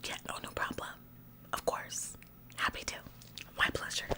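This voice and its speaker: A woman voicing a bossy, officious store employee in an ASMR roleplay, nagging in halting, repetitive, passive-aggressive phrases. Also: lightly acted. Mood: eager, chirpy. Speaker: ASMR performer.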